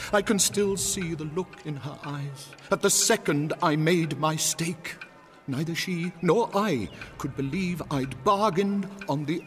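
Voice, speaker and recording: Cursed Venetian nobleman played by a male actor, theatrical, remorseful, higher-pitched male voice, audio drama with faint music. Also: close to an everyday voice. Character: cursed, long-lived Venetian duke, remorseful and self-pitying.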